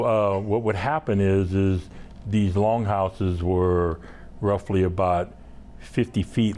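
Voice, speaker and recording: A man from Southeast Alaska, a guest on a talk show, telling his family history in an unhurried, halting way with many fillers. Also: low-pitched, mellow, slight background noise. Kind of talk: casual interview storytelling.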